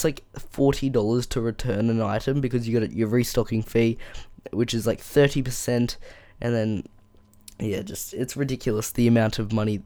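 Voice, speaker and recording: A man hosting a casual solo tech podcast, his voice croaky from a flu, rambling with many ums and frustrated rants, on a boom-arm microphone in a foam-treated room. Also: warm tone, no echo. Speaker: podcast host. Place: small room with soundproof foam. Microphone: boom-arm studio microphone.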